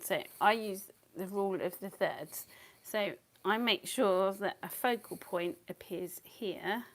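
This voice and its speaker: A woman talks through a live watercolour session with a slightly worn voice, as if she is losing it. She thinks aloud, rambles and drops into quiet mutters under her breath between explanations. Her pitch sits right in the middle. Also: takes it slowly. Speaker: watercolour artist teaching. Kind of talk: casual live painting talk.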